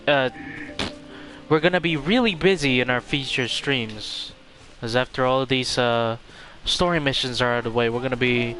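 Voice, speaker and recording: A man's voice: mid-range voice, pushed and bright, poor audio. A man streaming a game, chatting casually and reacting aloud to the characters. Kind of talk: casual gameplay commentary. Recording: faint game music behind.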